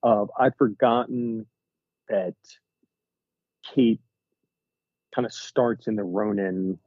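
A man's voice: on the low side, steady tone, takes it slowly, dry recording, lo-fi sound. A man co-hosting a podcast, musing and wondering aloud in casual chat. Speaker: podcast co-host.

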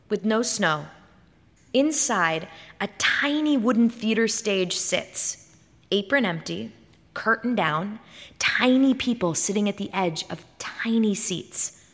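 A woman giving a dramatic, expressive reading of literary fiction, like a performance on stage. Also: medium pitch. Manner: theatrical, expressive delivery. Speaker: short story reader on stage. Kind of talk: dramatic scripted literary narration. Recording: reverberant hall.

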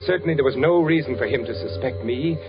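An actor playing an anxious undercover spy posing as a German architect in a 1940s radio drama, brooding and halting. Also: male voice, mid-range voice, natural voice. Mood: troubled, brooding. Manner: brooding, halting narration with repeated questions. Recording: dull vintage 1940s radio recording, music behind.

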